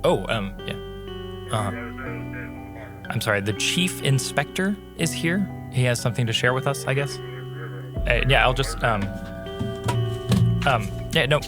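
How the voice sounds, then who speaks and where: Male podcast host over clear guitar music. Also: mid-range voice, a little slow, long pauses. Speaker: host of an audio narrative series. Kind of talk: podcast introduction with staged awkward banter.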